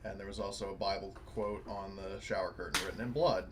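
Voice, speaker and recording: A man's voice: low-pitched, fairly even intonation, a little fast. A man chatting casually as a player in a tabletop game, informal and free with swearing. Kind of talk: casual chat. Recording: clearly noisy microphone.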